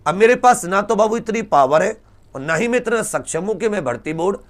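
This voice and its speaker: A man's voice: high voice, expressive, a little fast. A man explaining exam results to students as a teacher, informally and emphatically, repeating points and asking rhetorical questions. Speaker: exam-prep teacher and presenter.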